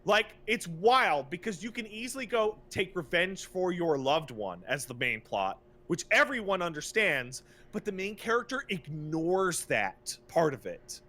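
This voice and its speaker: A man with a somewhat high-pitched voice speaks as a podcast co-host. He rants casually and with animation, swearing freely and sounding exasperated. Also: very animated, measured pace, hardly any pauses, pushed and bright. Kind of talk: casual video game rant.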